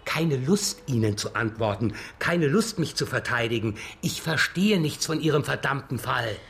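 A man's voice: mid-range voice, animated, moderate pace, creaky, fairly bright tone. Prickly, sardonic male suspect. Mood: irritated, defiant. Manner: curt, biting, formally sarcastic. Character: prickly, sardonic, defiant blind man under police suspicion. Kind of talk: German radio crime drama acting.

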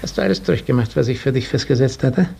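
An actor playing a condescending aristocratic count in a 1950s German radio drama, with a gravelly, husky voice and a measured, lordly manner. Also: male voice, mid-range voice, lively intonation, dark tone, a little hiss or hum. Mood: lordly, magnanimous. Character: condescending aristocratic count, master over serfs.

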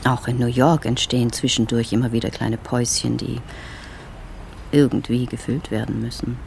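An actor playing a self-absorbed, fastidious traveller in a radio drama monologue, coolly sizing people up. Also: male voice, medium pitch, level tone, creaky, darkish tone, slight background noise, lightly acted. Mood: musing.

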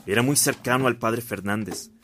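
A man plays a spiteful male sacristan priest, insistent and accusatory. His voice is mid-pitched, in a radio drama recording with faint noise. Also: normal speed, fairly bright tone, natural voice. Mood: accusing.

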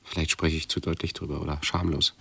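A low-voiced, fretful hypochondriac in a radio drama. His speech is slightly husky and pedantic, halting as he rehearses and corrects himself. The recording is close and dry. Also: flat delivery, pushed and bright, dry recording, full character voice. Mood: troubled brooding. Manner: pedantic, halting, self-interrupting, brooding aloud. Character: anxious hypochondriac man obsessively rehearsing pleas to doctors. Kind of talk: German radio play monologue.

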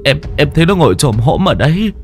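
A man's voice: fairly high pitch, sing-song, fast talker, darkish tone, soft background music, voice pushed up. A man voicing a terrified young male henchman who stammers in panic.

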